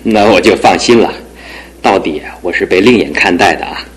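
A good-natured young man in a radio play, as an actor voices him, joking easily and turning earnest when it matters. His voice sits somewhat low for a man. He comes across as a little pampered but sincere. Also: normal speed, close to an everyday voice, low-quality recording. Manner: jokey and warm-hearted, earnest when serious. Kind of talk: 1960s-70s studio radio drama acting.

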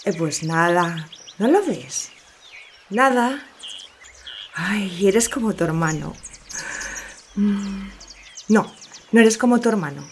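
Young village woman, medium pitch, slow, coy, teasing, radio drama, light background noise. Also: expressive.

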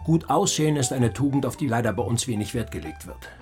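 An actor in a German radio play playing a courteous, solicitous gentleman of society with a gallant, formal, cultivated manner. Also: medium pitch, lively intonation, fast talker, over music, lightly acted. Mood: thoughtful concern.

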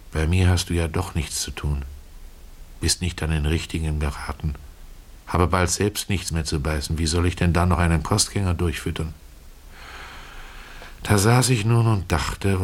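An actor playing a poor, soft-hearted former caretaker who tells his story in a folksy, ruminative way for a radio play. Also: very deep voice, exaggerated voice. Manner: folksy, ruminative, addressing a listener, with rhetorical asides. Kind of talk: dramatic first-person literary storytelling, radio play.

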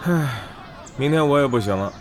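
An actor playing a friendly, easily impressed online gamer in an audio drama. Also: male voice, medium pitch, expressive, normal speed, slight background noise, close to an everyday voice. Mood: resigned.

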